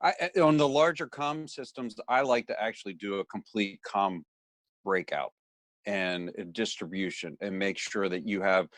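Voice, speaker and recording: A man's voice: lowish voice, normal speed, a bit bright, no room sound. A man speaking conversationally as an audio technician in a training panel discussion.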